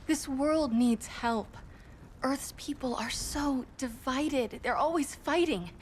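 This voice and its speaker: An eager young superheroine in a video game, earnest and frustrated. Her high voice moves at an unhurried pace, with faint noise behind. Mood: restless.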